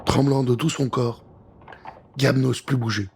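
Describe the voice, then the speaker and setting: A man narrating a scripted children's adventure story in an expressive, suspenseful way. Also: medium pitch, a little slow, vocal fry, background noise.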